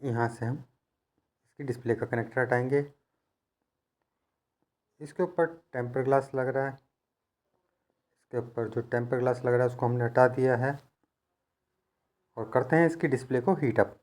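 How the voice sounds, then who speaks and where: A man's voice: mid-range voice, fairly even intonation, unhurried, pauses often, a little mellow. A man giving hands-on instructional narration as a repair technician, explaining things in a patient, practical, conversational way.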